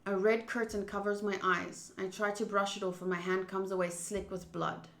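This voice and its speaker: A woman's voice: medium pitch, flat delivery, normal speed, a bit bright. A woman streamer reading a visual novel aloud expressively, with casual asides. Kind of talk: dramatic read-aloud of game text with casual asides. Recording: roomy, echoing sound.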